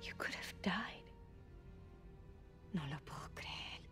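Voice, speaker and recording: A woman's voice: slow, whispered, close to an everyday voice. An actress playing a protective, loving mother of a teen hero, earnest and slipping into Spanish phrases. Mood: worried. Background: music.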